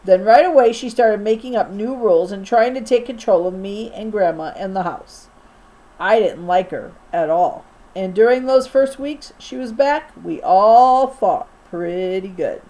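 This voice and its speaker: A woman teacher reading a children's novel aloud in an expressive storytelling style for a young class. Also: mid-range voice, measured pace, low-quality recording.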